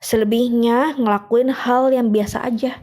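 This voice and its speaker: A woman's voice: fairly high pitch, steady tone, normal speed, darkish tone. A young woman with an Indonesian accent giving a casual spoken devotional, chatty and laughing often, asking her listeners questions. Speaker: devotional speaker.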